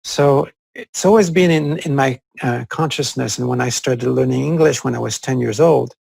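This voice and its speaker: A man's voice: mid-range voice, normal speed, gravelly, darkish tone, slightly rough, dry recording. A man who grew up in France, a native French speaker, speaking thoughtfully and hesitantly in a conversational discussion, with many fillers. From France (Corsica). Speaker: co-host.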